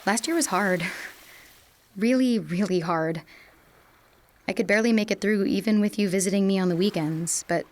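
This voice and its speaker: A young woman acting an insecure, fearful student desperately in love, in an emotional, halting audio drama monologue. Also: mid-range voice, lightly acted. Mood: fearful, hurt.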